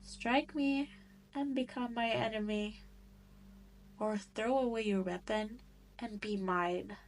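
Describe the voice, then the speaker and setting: A woman's voice: a little high, slow pace, slight background noise. A woman playing a cruel, seductive demon queen in an audio roleplay, taunting and condescending as she toys with her prey. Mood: menacing, commanding. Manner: taunting, haughty, condescending, teasing. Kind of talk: scripted roleplay audio drama monologue.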